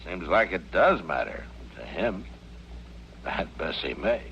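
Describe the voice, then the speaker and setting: A man's voice: low voice, very animated, slow, creaky, heavily acted. An American man acting a stern, commanding frontier marshal, terse and authoritative, in an old-time radio western. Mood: calm, dry. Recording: muffled vintage radio drama, hissy.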